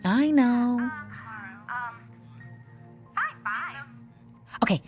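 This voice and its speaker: An actress playing an earnest, anxious young student in a radio drama, insistent yet hesitant. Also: female voice, fairly high pitch, expressive, unhurried, over music. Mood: reassuring.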